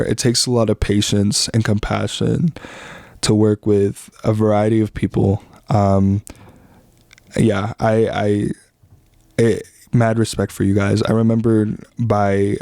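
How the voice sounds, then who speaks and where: A young man from the Philippines hosts his own podcast, speaking in a low voice that is recorded close and dry. He talks in a loose, easygoing way, full of fillers, slang and restarts. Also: gravelly, dry recording. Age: young adult. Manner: relaxed, rambling, heavy with fillers and slang. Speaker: podcast host. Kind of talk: casual reflective monologue.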